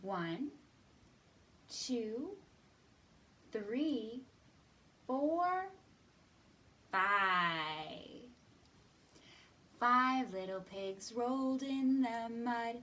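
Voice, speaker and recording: Woman, children's storytime host, slow, sing-song, drawn-out words, highish voice, slightly distant mic. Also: expressive, fairly bright tone. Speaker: children's librarian hosting storytime. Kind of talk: expressive read-aloud and counting for young children.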